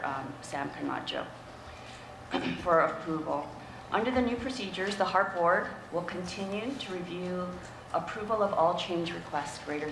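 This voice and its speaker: A woman with a raspy, under-the-weather voice gives a formal, halting briefing to a board at a public meeting. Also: fairly low pitch. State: coming down with a cold, throat-clearing. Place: indoor board meeting room. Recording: echoey meeting room with clear background noise.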